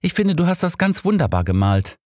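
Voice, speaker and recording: A bossy, motherly woman , played by a man in an audiobook. The voice is pitched up and swings in a lively way, brisk and emphatic. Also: dry recording, studio quality. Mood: comforting. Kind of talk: audiobook character reading.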